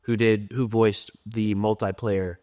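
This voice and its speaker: A man's voice: lowish voice, level tone, unhurried, a little mellow. A man hosting a casual interview, asking questions in informal, slightly hesitant phrasing. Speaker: interviewer, host.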